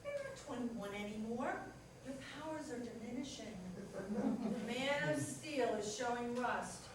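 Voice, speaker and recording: A woman's voice: fairly high pitch, very animated, measured pace, far from the mic. A woman playing a practical, nagging companion to a superhero, dry and scolding, in a staged comedy play reading. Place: echoey room at a live staged reading. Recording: very echoey hall from afar, clear noise.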